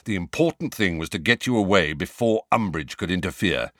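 A man's voice: sits low, lively intonation, a little fast, fairly bright tone, no echo. A man voicing a tense, impatient grown man in an audiobook, close to his own voice. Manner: clipped, impatient.

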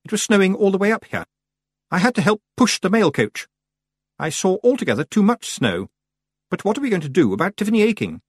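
A man's voice: high-pitched, sing-song, fairly quick, husky, dry recording, clean studio sound, voice pushed up, full character voice. A man voicing a prim, proper, fussy and anxious woman witch in an audiobook. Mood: flustered.

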